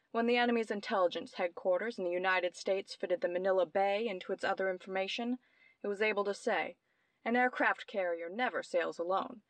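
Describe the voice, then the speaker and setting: A brilliant, self-assured young woman detective played by an actress in an old-time radio mystery. She explains each clue precisely and theatrically, in a mid-pitched voice. The sound is close and dry. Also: no room sound, natural voice.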